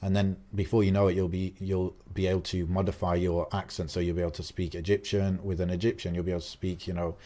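A young man with a somewhat low voice talks through a podcast conversation at length. He rambles casually, full of "like" and "um", restarting his sentences as he goes. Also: level tone, quick pace, hardly any pauses, warm tone. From Algerian. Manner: talkative, many fillers and restarts. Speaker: podcast co-host.

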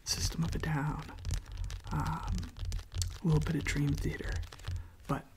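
A young adult ASMR host speaking in a soft, gentle whisper, halting and repeating words, close to the microphone. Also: mid-range voice, little pitch movement, background noise.

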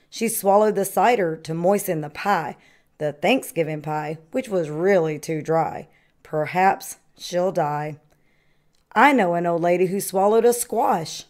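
A woman reading a children's picture book aloud in an expressive sing-song way, like a kindergarten teacher. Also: on the low side.

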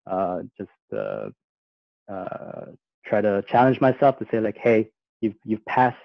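A man's voice: fairly low pitch, dry recording. A man born in Austria, giving a casual talk on a video call, hesitant and full of fillers and restarts. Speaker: guest speaker. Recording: muffled dry video-call audio.

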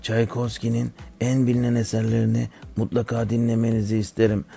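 A man plays an older cartoon music teacher, kindly and instructive. The voice is very creaky and mellow, moving in an even line as he explains things to children, with faint music behind. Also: mid-range voice, fairly quick. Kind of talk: scripted lesson narration for children.

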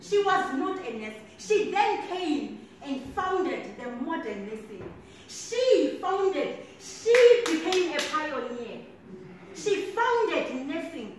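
A woman's voice: high voice, big pitch swings, takes it slowly. A South African woman in her twenties giving a motivational keynote, with emphatic, preacherly repetition, walking the floor as she speaks. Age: 20s. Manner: walks about the floor, gesturing as she speaks. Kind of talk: keynote speech to a conference room. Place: large conference hall, indoors. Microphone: handheld mic, heard from a distant camera. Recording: echoey hall audio from far off with background noise.

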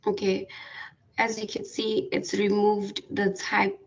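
A woman walking through a software tutorial over a screen recording, hesitant with many fillers and restarts. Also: medium pitch, monotone, slow. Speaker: tutorial presenter. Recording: distant, dull lo-fi mic.

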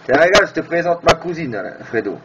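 Male radio ham, slightly high voice, bawdy teasing, muffled heavy noise. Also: very animated, quick pace. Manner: teasing, crude. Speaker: amateur radio operator, video maker. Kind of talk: casual bawdy radio banter. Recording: muffled, very noisy FM radio chat.

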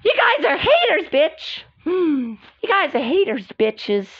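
A woman's voice: sits high, expressive, normal speed. A woman voicing a dramatic young girl in an improvised role-play, emphatic and repetitive. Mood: indignant. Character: dramatic, indignant young girl, anxious and pleading. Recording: dull lo-fi sound.

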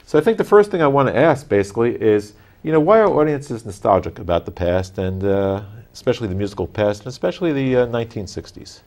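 An older man hosting a studio interview, asking questions in halting, circling phrases. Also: mid-range voice, expressive, darkish tone. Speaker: TV talk show host. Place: small TV studio, indoors.

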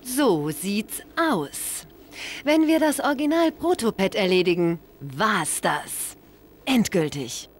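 Tough, expressive game heroine. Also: female voice, medium pitch, slow pace. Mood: dry, resolute. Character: tough, sardonic young female alien inventor. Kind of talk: animated game cutscene acting.

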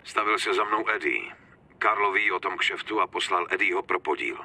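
An actor playing a worried, guarded gangster speaking tersely over a telephone in a dubbed game. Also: male voice, sits low, lively intonation, sharp tone, husky, full character voice. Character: worried male gangster reporting trouble by telephone. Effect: telephone filter. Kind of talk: dramatic game dub acting. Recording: noisy, crackly telephone line.

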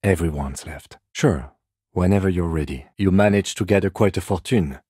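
An actor playing a composed, dry police detective in a video game, speaking in a measured, restrained and formal way with exasperation held in check. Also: male voice, deep voice, dark tone, slightly rough, no room sound. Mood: calm, dry. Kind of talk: scripted video game dialogue.

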